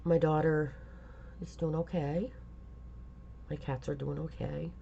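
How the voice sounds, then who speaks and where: Casting: stuffy fifties woman, gravelly and slow, rambling vlog chat, lo-fi hiss. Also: low-pitched, level tone, dark tone. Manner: halting, rambling, many pauses and fillers. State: congested from a lingering cold. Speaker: vlogger. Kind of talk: casual personal vlog talk.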